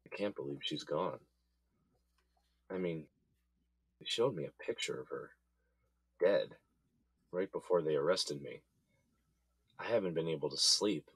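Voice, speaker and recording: A man's voice: extremely low pitch, a little slow, pauses often, a bit bright, slightly rough, dry recording, full character voice. An actor playing a troubled, subdued businessman in jail in an audio drama, speaking in a hesitant, downcast manner. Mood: shaken. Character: troubled, subdued jailed businessman, adult man.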